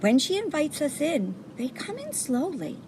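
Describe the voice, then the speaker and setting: A woman reading a children's picture book aloud, pausing to point things out to young listeners. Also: high voice, big pitch swings, darkish tone, slight background noise. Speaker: storybook reader.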